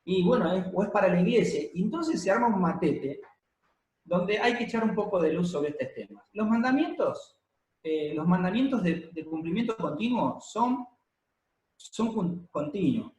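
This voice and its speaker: A man preaching a sermon to a congregation, explaining at a measured pace with many pauses and fillers. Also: sits high, animated, darkish tone. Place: church hall, congregation present and streamed. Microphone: handheld or stand microphone. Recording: echoey room.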